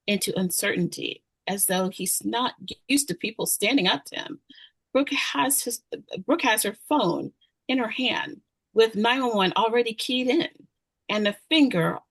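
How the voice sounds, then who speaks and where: A woman narrates the action of a screenplay at a table read. Her voice is mid-pitched for a woman. The sound is very dry, with little room. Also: dry recording, low-quality recording. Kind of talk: scripted narration of screenplay directions.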